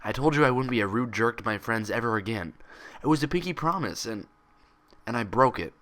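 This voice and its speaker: A man's voice: mid-range voice, animated, a little fast, a bit bright, close to an everyday voice. A man voicing a meek, guilty young female unicorn patient who speaks hesitantly and apologetically in a storybook reading. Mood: remorseful.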